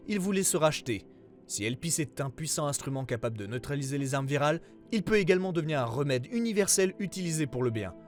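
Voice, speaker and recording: A man playing a government investigator who reads out a formal case report in a serious, measured way, as scripted narration. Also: a little high, a little mellow, natural voice.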